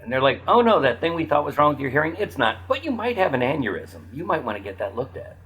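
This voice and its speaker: A man's voice: on the high side, very animated, quick pace, slightly rough, dull audio. A man chatting casually as a podcast guest in a dry, matter-of-fact way. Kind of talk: casual chat.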